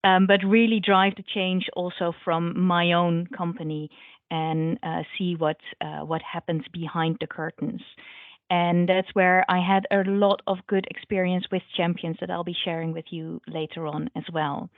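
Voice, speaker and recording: A woman's voice: on the low side, level tone, normal speed, no echo, narrow, tinny audio. A woman introducing herself as a change manager on a panel call, friendly, with fillers. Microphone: video call.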